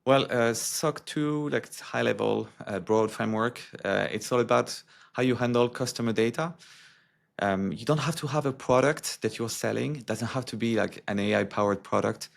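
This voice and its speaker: A man who works as a security executive, answering interview questions in a halting, filler-heavy way. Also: mid-range voice, creaky.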